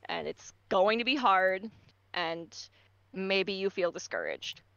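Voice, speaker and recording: A woman's voice: medium pitch, expressive, slow, lo-fi sound, a crowd in the background. A woman voice actor giving casual advice on a livestream, explaining at length with frequent ums and stammered restarts. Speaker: voice actor guest.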